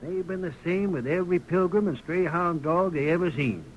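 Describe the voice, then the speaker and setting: Man acting a blunt, highish-voiced frontier townsman. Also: normal speed, highs cut off, lightly acted. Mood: fond, gruff. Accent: American. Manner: emphatic, folksy frontier grammar. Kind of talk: 1930s radio acting. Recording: noisy 1930s radio recording.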